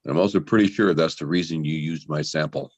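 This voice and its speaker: A man's voice: extremely low pitch, fast talker, dry recording, highs cut off. A man acting a firm, composed, impatient man in a drama script read. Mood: cool, firm. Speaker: voice actor in a table read.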